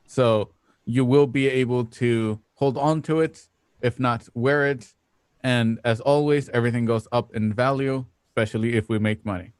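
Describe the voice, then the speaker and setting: A man co-hosting a casual show, giving product pitches and scripted sponsor reads. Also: fairly even intonation, normal speed, clear, resonant tone, dry recording, clean studio sound. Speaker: co-host and sponsor reader.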